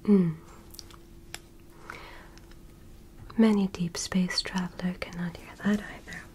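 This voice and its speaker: A woman playing a polite, curious alien doctor in a whispered ASMR medical roleplay, speaking softly and breathily with gentle, formal attentiveness. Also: fairly low pitch, level tone, moderate pace, slight background noise, natural voice. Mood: gentle, attentive. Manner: gentle, clinical, formally praising.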